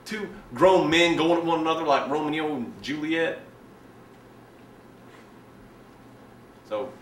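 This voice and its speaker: A man performing a dramatic monologue as a self-righteous, resentful young man, telling his story in a halting, colloquial, confiding way. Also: medium pitch, fairly bright tone, natural voice. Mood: indignant. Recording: echoey room, distant mic, faint noise.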